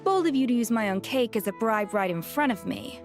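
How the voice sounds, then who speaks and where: A woman's voice: a little high, lively intonation, a little fast, soft background music. A woman playing a haughty, dry-witted female chef in an anime-style video game, speaking with sarcasm. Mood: dry, sardonic.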